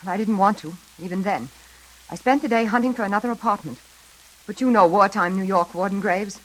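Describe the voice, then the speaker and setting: A woman playing a nervous, desperate heroine in a 1940s radio suspense drama, halting and breathless. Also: medium pitch, close to an everyday voice, poor audio. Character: frightened, haunted single woman, nervous and desperate. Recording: old radio recording.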